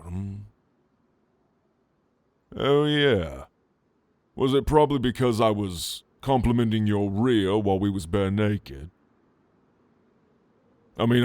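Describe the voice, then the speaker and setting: A man playing a flirtatious, protective orc in an intimate ASMR roleplay, with a teasing, casual and gentlemanly manner. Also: on the low side, dry recording. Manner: teasing, intimate, casual drawl with soft chuckles. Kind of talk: ASMR roleplay addressed to the listener.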